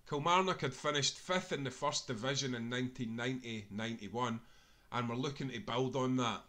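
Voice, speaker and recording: Male Scottish narrator for a football history audiobook, very bright, forceful tone, mid-range pitch. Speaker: author reading own book aloud. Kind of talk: scripted narration, audiobook reading of sports history.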